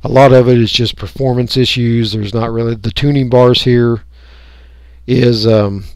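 A man's voice: medium pitch, fairly even intonation, normal speed, darkish tone, smooth, no room sound, clean studio sound. Folksy American man, casual tutorial. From United States. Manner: folksy, rambling, thinking aloud. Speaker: radio hobbyist giving a software tutorial. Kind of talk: casual unscripted screen-recording walkthrough.

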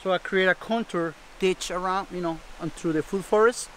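Casual farm guide: middle-aged man, Costa Rican accent, Spanish native, high voice, light outdoor ambience. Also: moderate pace, a bit bright, slight background noise. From Costa Rica. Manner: repeats words, simple non-native English phrasing. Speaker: farmer showing his homestead. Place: outdoors in a tropical food forest.

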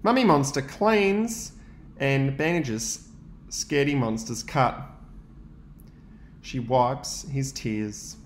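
Aussie guy teacher reading a kids book, takes it slow, roomy echo. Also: mid-range voice, a little hiss or hum. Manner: gentle, expressive storytelling with pauses to ask questions. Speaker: teacher reading aloud to young students. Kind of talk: children's picture book read-aloud.

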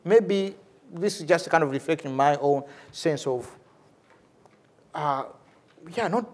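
A middle-aged man with a Ugandan accent, speaking off the cuff as a lecturer, animated and repeating words for emphasis. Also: a little high, slow pace. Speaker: lecturer, theology professor and priest. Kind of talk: lecture with off-the-cuff answer to a question. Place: large university lecture hall, at a lectern.

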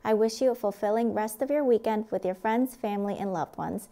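A woman's voice: mid-range voice, brisk, mellow. A woman television presenter reading a scripted sign-off. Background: music.

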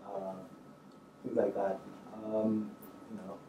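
A man's voice: lowish voice, level tone, slow. A man in his thirties speaking casually and hesitantly as he answers as a screenwriter on a panel, thinking aloud in informal language. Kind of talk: casual spoken answers at a public talk. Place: indoor stage in an auditorium, seated. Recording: roomy, distant miking, faint noise.

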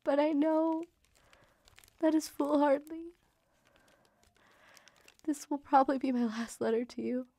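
Radio drama: devoted young Victorian mother, slightly high voice, emotive and intimate letter reading. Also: fairly even intonation, a little slow, long pauses, dark tone, no echo. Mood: grief-stricken.

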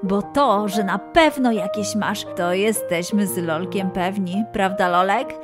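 A woman's voice: medium pitch, a little slow. A woman storyteller reading scripted narration for a children's tale. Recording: music behind the voice.

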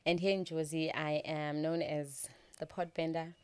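A South African woman from the Eastern Cape, a guest telling her story in casual conversation, laughing often and pausing with fillers. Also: deep voice, flat delivery, a little mellow.

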